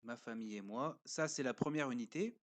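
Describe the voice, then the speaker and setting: A man speaking casually as a parent reviewing a learning platform, pausing often with fillers and explaining step by step while he shows a screen. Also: medium pitch. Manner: hesitant, pauses often with fillers.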